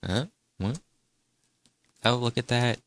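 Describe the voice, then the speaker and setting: A man's voice: mid-range voice, slow pace, a bit bright, dry recording. A man making crude, pushy prank calls to hotel front desks and chatting casually with a friend. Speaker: prank caller. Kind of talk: casual prank call chat.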